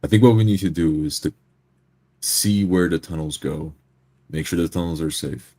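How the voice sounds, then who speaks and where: Grim fantasy tabletop adventurer acted by a man, very deep and husky, plain-spoken, hesitant, close dry sound. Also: normal speed, dark tone, no room sound. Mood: resolute, wary.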